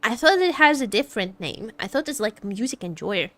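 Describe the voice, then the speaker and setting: A woman's voice: on the high side, sing-song, a little fast, fairly bright tone, no echo. A woman streaming as a VTuber, chatting casually about a game in a teasing, joking, giggly way. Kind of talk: casual livestream chat reacting to a game.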